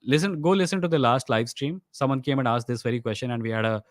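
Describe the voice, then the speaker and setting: A young man with an Indian accent, his first language Odia, hosts a live Q&A and rattles through viewer questions at a rapid clip. His speech is conversational and mixes Hindi phrases into English. The sound is dry, with no room echo. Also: mid-range voice. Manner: quick, conversational, slips into Hindi mid-sentence. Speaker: host of a live stream. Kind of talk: livestream Q&A, reading viewer questions aloud.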